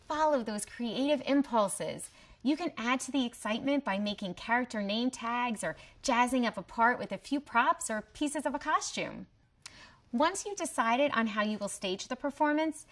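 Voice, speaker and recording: A woman's voice: on the high side, a bit bright. A woman delivering scripted promotional narration as a presenter. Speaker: host presenter.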